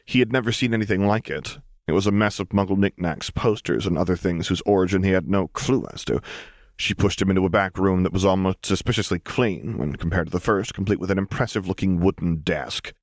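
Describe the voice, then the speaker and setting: A man's voice: deep voice, a little fast, no echo, studio quality. A man reading a scripted story aloud as an audiobook narrator.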